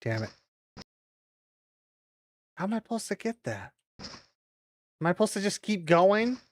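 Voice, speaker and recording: A woman's voice: mid-range voice, very animated, a little slow, no echo. A woman streaming a video game, commenting casually with a lot of exasperated swearing and exclaiming. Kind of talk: casual live gameplay commentary.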